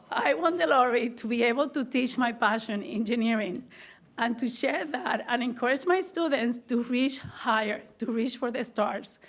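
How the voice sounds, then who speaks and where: A middle-aged woman with a strong Puerto Rican accent, giving an earnest, grateful acceptance speech as an honored teacher, often restarting her phrases. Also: on the high side. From Puerto Rico.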